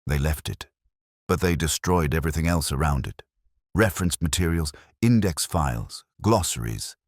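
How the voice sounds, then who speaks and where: A man's voice: a bass voice, expressive, normal speed, no echo, studio quality. A man voicing an urgent male messenger in a Victorian mystery story, formal and clipped in his delivery. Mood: ominous urgency.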